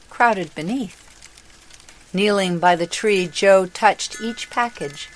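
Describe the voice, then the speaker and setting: Female storybook narrator, low register, slow and deliberate delivery, scripted read. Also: poor audio.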